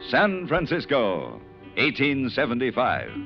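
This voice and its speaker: Animated American male radio announcer. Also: mid-range voice, a little slow, soft background music. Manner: emphatic, polished salesmanship. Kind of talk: scripted announcing and commercial pitch. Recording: dull vintage radio recording.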